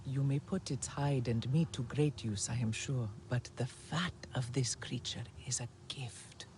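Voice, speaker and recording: A man playing a calm, wise alien healer in a video game, gentle and solemn. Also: medium pitch, measured pace, a little hiss or hum, close to an everyday voice. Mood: serene, instructive. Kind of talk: video game cutscene acting.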